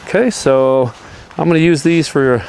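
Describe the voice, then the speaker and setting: Guy with a gravelly voice chatting about tent setup outside, loud wind noise on the camera mic. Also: a little high, normal speed, rough recording. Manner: relaxed, rambling, chuckles often. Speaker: outdoor vlogger. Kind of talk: casual gear-testing vlog. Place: outdoors in a windy backyard. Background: strong wind.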